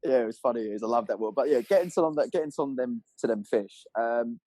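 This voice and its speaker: A man tells stories at a fast clip in a casual, matey style. His speech is full of slang and swearing, his pitch sits in an ordinary male range, and the sound is dry and close. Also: warm tone, no room sound. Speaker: podcast guest telling fishing stories. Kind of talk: casual animated storytelling.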